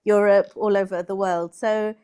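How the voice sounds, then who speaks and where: A woman, a tutor talking warmly and encouragingly to a student on a video call. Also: medium pitch, fairly even intonation, moderate pace, clear, resonant tone. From Kerala, India; raised in London. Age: 40s. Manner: friendly, encouraging, soft-spoken. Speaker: English tutor. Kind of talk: casual video call conversation.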